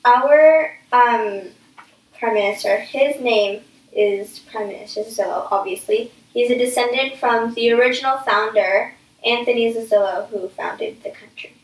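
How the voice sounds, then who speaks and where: A woman speaks as a guest in a staged interview, explaining things in full answers. Her voice is a little high. It is captured from some distance, with clear room echo around it. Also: unhurried. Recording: echoey room, distant microphone.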